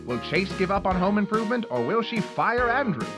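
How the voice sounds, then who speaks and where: A male announcer in old radio serial style. His voice is high and lively, with guitar music playing clearly behind it. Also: a little fast.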